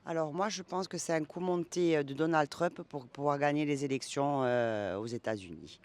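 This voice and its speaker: A man's voice: a little high, normal speed. A man being interviewed, giving his opinion in casual, spontaneous speech.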